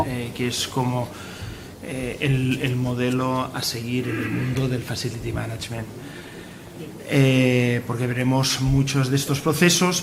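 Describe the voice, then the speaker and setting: A man's voice: mid-range voice, gravelly, noisy background. A man, hosting a conference and introducing the next speaker. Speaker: conference host.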